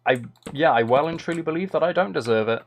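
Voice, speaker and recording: A man's voice: fairly high pitch, quick pace, slight background noise. A young man chatting casually while he streams a game, rambling and self-deprecating into a close mic. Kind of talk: casual live-stream chat while gaming.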